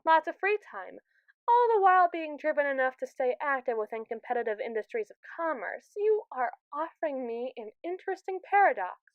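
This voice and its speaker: Casting: woman to play a domineering, curious robot AI for ASMR roleplay. Smooth, clear female voice, smug sass, theatrical delivery, very dry close recording. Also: high-pitched, no room sound, phone-quality sound, close to an everyday voice. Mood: sardonic, intrigued. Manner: sassy, theatrical, verbose and teasing. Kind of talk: ASMR roleplay monologue addressed to the listener.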